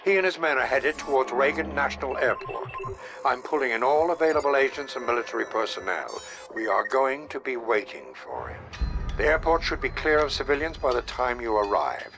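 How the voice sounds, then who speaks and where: A man's voice: mid-range voice, animated, brisk, gravelly. An actor playing a commanding male government spymaster, speaking in a formal, controlled way. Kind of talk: video game cutscene voice acting. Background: game score music.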